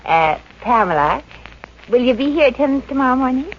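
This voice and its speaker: A woman's voice: high-pitched, big pitch swings, moderate pace. An actress playing a brassy, plucky, wisecracking working woman in a 1950s radio comedy, slangy and wry. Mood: brash. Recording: muffled, noisy old radio recording.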